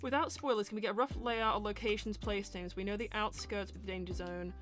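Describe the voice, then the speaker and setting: A woman's voice: mid-range voice, steady tone, quick pace, fairly bright tone, faint music behind. A woman chatting casually and animatedly as a show host, reading out audience questions and thinking through her answers aloud. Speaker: host and voice actor of a live character Q&A show.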